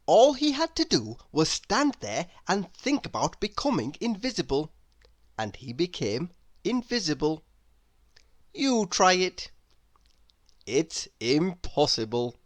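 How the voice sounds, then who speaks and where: Woman narrator, children's read-aloud, very expressive intonation, slightly low pitch, unhurried, dry sound. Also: no echo. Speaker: storybook reader.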